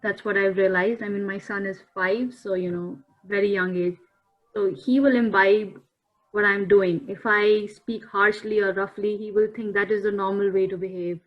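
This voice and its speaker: Woman in her 30s, earnest and measured, muffled video call audio. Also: medium pitch, steady tone. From India. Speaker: panel guest. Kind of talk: reflective talk-show discussion.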